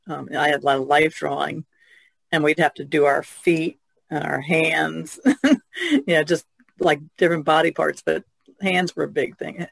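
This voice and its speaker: A woman's voice: sits low, gravelly, no room sound. A woman speaking casually as a panelist in a podcast conversation.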